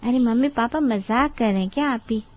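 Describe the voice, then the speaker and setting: A woman's voice: on the high side, fairly quick, a little mellow, dry recording, natural voice. A woman playing a sweet, pampered young married daughter who mildly protests, in a dramatized family story.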